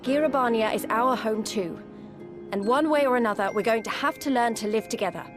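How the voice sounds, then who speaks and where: High-voiced, earnest young woman fighter by an actress. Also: fairly quick, over music, lightly acted. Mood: earnest, determined. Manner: plain-spoken, sincere. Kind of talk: video game cutscene acting.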